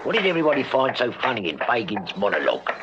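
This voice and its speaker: An actor playing a loyal, plain-spoken Victorian constable in a radio drama, deferential and bumbling. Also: male voice, mid-range voice, wildly swooping pitch, fast. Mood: curious. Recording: muffled radio drama, loud crowd noise.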